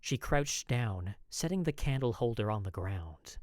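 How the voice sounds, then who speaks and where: Dramatic audiobook-style narrator, first-person journal storytelling, animated swooping intonation, dry studio-like sound. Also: mid-range voice, measured pace, dry recording. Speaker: voice actor narrating a podfic.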